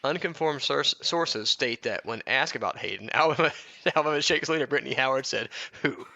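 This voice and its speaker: A man's voice: medium pitch, brisk, vocal fry, bright, forceful tone, no room sound, highs cut off. A man co-hosting a comedy podcast, mixing mock-biography reading with joking, irreverent asides and prone to breaking into laughter. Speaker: podcast co-host.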